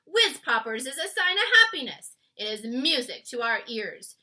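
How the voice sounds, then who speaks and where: A woman voicing a big, friendly old giant from a storybook, emphatic and booming, drawing out his muddled words. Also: very animated, normal speed, pushed and bright, exaggerated voice. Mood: proud, insistent.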